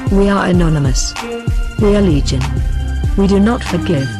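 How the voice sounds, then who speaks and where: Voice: mid-range voice, moderate pace. A synthetic-sounding voice of unclear sex delivers a scripted political address in a formal, solemn, declarative manner, as spokesperson for an activist group. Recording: voice over background music.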